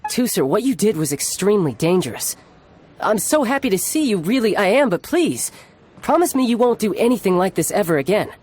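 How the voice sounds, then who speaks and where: A man's voice: sits high, sing-song, fairly quick, darkish tone, husky, exaggerated voice. A man voicing a breezy, self-assured young fighter and affectionate big brother in a video game. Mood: concerned, gentle. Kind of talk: animated video game character acting. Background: background game music.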